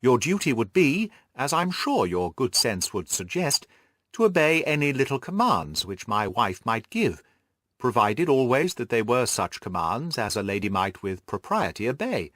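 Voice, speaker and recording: A man voicing a jovial, effusive, stout middle-aged gentleman in an audiobook, exclaiming and repeating his words genially. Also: mid-range voice, sing-song, moderate pace, no echo, studio quality. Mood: genial, coaxing. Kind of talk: audiobook character voice.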